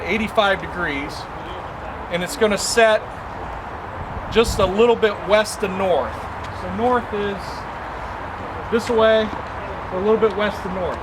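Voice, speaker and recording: A man in his thirties giving an informal, methodical step-by-step practical demonstration to onlookers outdoors. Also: high voice. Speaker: amateur radio demonstrator. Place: outdoors by a brick building and car park. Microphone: camera a few metres away. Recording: outdoor camera from a distance, loud ambient noise.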